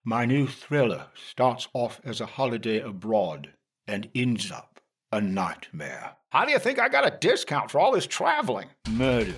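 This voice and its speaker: A man's voice: mid-range voice, very animated, normal speed, gravelly, slightly rough. A man delivering a scripted comic thriller promo as its presenter. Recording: loud music over the voice. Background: loud music.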